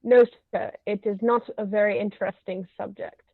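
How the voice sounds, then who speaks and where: A woman reading the part of an unflappable, deferential manservant in a stage comedy, formal and deadpan, on a tinny connection. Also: mid-range voice, normal speed, no echo, natural voice. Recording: tinny, phone-like narrow sound.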